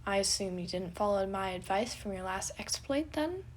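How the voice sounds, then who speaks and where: A woman voicing a logical, bookish teenage adviser, deadpan and formal with wry wit, in a storybook reading. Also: mid-range voice, normal speed, slight background noise, close to an everyday voice. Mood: advising. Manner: deadpan, formal, precise wording.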